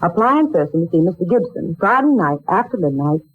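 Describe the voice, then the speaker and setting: A woman's voice: fairly low pitch, very animated, fast talker, husky, no room sound, faint music behind. An actress playing a proud, polite young woman looking for work, in a dramatic 1940s radio style. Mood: eager. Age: young adult. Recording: muffled old 1940s radio recording.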